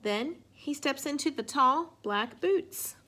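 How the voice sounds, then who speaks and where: A woman reading a children's picture book aloud in an expressive way. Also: sits high, slow pace, slight background noise. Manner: expressive, acts out sound effects and exclamations. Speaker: storybook reader.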